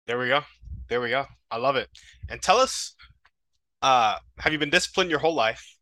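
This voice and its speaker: Male podcast host, bright and punchy tone, dry sound, encouraging conversational interviewer. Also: mid-range voice, animated, normal speed, dry recording. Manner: encouraging, affirming.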